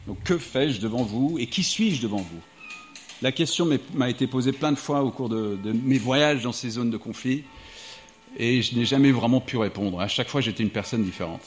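A man's voice: medium pitch, moderate pace. A middle-aged man from the United States, a diplomat and writer, speaking thoughtfully to a live audience in an evening talk. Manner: reflective, thinking aloud.

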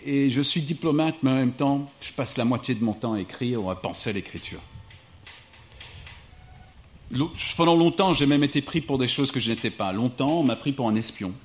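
A middle-aged man from the United States, a diplomat and writer, speaking thoughtfully to a live audience in an evening talk. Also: mid-range voice. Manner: reflective, thinking aloud.

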